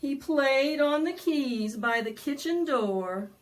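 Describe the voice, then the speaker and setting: A woman leads a preschool storytime with a very lively, swooping voice on the high side. She speaks and sings slowly, in a sing-song manner that invites little listeners to join in. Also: slight background noise, poor audio. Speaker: children's storytime presenter at a library. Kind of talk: interactive preschool storytime, singing and read-aloud.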